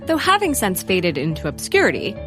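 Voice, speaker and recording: A woman's voice: lowish voice, huge pitch swings, a little fast, fairly bright tone, faint music behind, full character voice. A woman playing a wry storyteller, narrating a comic audio drama in mock-documentary style. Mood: mock-serious.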